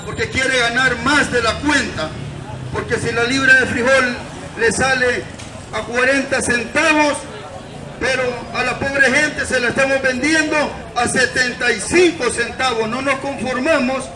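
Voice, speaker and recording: High-pitched Salvadoran man preaching from afar. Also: steady tone. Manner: declamatory, in short deliberate phrases. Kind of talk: open-air sermon, explaining scripture. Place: outdoor gazebo in a public town square. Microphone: far camera. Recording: distant, echoey, noisy outdoor recording with crowd. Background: traffic and crowd noise.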